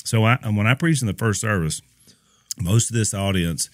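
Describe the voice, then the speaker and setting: Very deep-voiced man chatting on a podcast. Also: moderate pace, no room sound, clean studio sound. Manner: energetic, thinks aloud with restarts. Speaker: podcast co-host and preacher. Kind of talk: casual conversation. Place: small dark studio room. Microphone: close studio mic with headphones.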